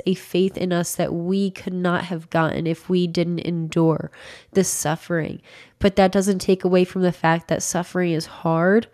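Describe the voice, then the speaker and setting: A young woman in her twenties giving an encouraging devotional talk to camera, her voice slightly raspy from recovering from illness, recorded close on a studio mic in a small home room. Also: lowish voice, warm tone. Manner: earnest, encouraging, emphatic. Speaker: faith content creator. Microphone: large studio microphone close to mouth.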